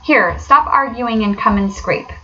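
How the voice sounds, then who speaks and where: A woman voicing a kindly, practical and excitable male rat in a storybook, with an earnest, eager delivery. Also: a little high, a little slow, dull audio, faint music behind, voice pushed up. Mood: exasperated.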